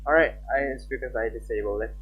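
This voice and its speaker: A man's voice: mid-range voice, fast talker, a bit bright, husky. A young man live-streaming a game, chatting casually and reading on-screen text aloud. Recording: dull lo-fi mic with background music. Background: game music.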